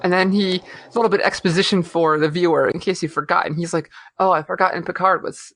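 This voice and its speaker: A woman co-hosting a podcast in easy, chatty conversation, trailing off into fillers and restarting her sentences. Her voice sits a bit low for a woman. It is recorded close and dry, with no room sound. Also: steady tone, a little fast, a bit bright, dry recording. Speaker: podcast co-host. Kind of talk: casual chat.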